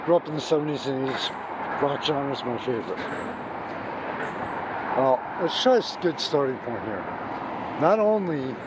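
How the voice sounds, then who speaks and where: A hoarse middle-aged man giving an emphatic, gushing music review, recorded outdoors on a city street at night, restarting his sentences often. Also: on the high side. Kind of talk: off-the-cuff video review. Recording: street noise and traffic behind.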